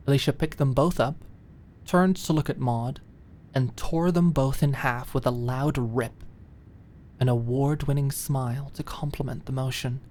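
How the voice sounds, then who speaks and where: A woman's voice: very deep voice, fairly even intonation, measured pace, dark tone, a little hiss or hum. A woman reading dramatic scripted narration as an audiobook narrator.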